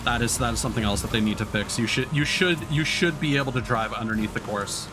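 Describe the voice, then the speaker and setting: A man's voice: medium pitch, quick pace, background noise. A man giving live video game commentary as a streamer, sweary and grumbling as he talks. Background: racing game music and engine sounds.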